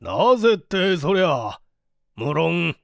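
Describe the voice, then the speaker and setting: A man voicing an anxious, excitable country gentleman in a dramatic story reading, with an agitated, emphatic delivery. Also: on the high side, slow, vocal fry, no echo, studio quality, heavily acted. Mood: excited.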